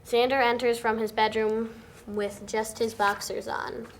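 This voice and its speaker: A woman's voice: a little high, flat delivery, a little slow. A woman reading out scripted stage directions as the narrator at a table read. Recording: noticeable background noise, amateur room recording.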